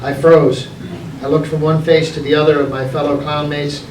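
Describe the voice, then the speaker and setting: A man reading his own story aloud in a theatrical, deliberate way, with dramatic pauses. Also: fairly high pitch, level tone. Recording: echoey, noisy room, distant mic.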